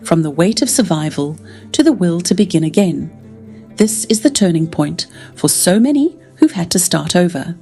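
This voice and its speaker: A woman's voice: on the low side, normal speed, faint music behind. A woman reading polished, scripted documentary narration. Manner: even, polished voice-over reading.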